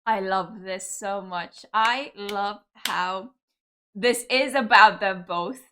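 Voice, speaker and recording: A woman's voice: mid-range voice, takes it slowly, sharp tone, faint music behind. A young woman from Cyprus, reacting with enthusiasm to songs as a video host, full of fillers and loving remarks. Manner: gushing, enthusiastic, lots of fillers. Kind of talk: casual music reaction commentary.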